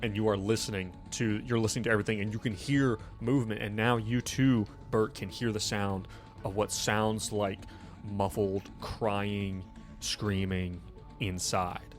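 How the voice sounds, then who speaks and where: A man running a tabletop game as game master, improvising the scene in an informal, halting, teasing way. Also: on the low side, steady tone, soft background music.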